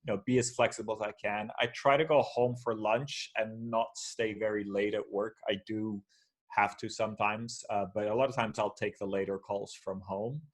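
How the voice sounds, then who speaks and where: A man's voice: lowish voice, moderate pace. Man from Portugal, chatty and rambling. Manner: relaxed, rambling with many fillers and restarts. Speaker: guest. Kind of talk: casual video call chat. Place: shared office space. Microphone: video call.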